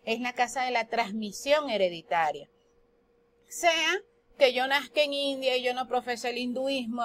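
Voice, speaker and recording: A woman from Colombia with a Colombian Spanish accent, giving a spoken lesson on astrology that poses questions and then answers them. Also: a little high. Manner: explanatory, asks and answers her own questions. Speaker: astrology teacher.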